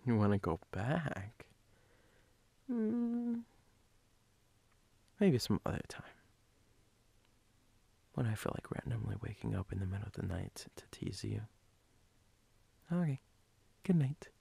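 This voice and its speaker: A man playing a sleepy, teasing, doting boyfriend in an ASMR role-play, husky and breathy, often dropping to a whisper in an intimate, halting way. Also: lowish voice, sing-song, mellow, no room sound, heavily acted.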